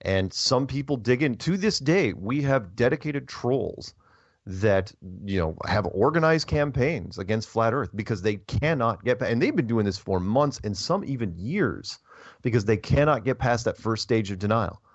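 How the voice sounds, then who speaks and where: A man's voice: medium pitch, expressive, normal speed, dry recording, dull audio. A man hosting a casual radio talk show, explaining things emphatically in a conversational way.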